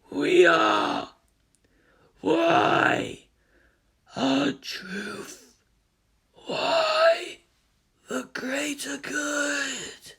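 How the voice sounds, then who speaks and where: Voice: sits high, vocal fry, fairly bright tone, pitched above the performer's own voice, exaggerated voice. A performer voicing an ecstatic alien collective being in a story reading, chanting rhetorical questions and answers with fervor.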